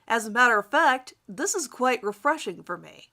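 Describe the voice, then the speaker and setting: Woman playing a smug teenage charmer, lively and bright. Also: on the high side, normal speed, heavily acted. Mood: smug, confident. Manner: self-assured, formal wording. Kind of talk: dramatic reading of first-person fan fiction.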